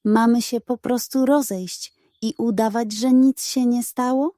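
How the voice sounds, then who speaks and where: A woman voicing a stern, suspicious old beast war chief in a children's audio story, gruff and commanding. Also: fairly even intonation, a little slow, dark tone, no room sound, clean studio sound, lightly acted. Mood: grudging, skeptical. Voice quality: low, hoarse.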